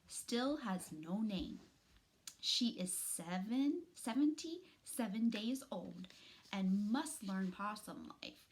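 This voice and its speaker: Expressive, bright-voiced woman reading to children. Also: a little high. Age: middle-aged. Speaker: children's story reader, teacher. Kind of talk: read-aloud storytelling for children. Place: small room at home, indoors.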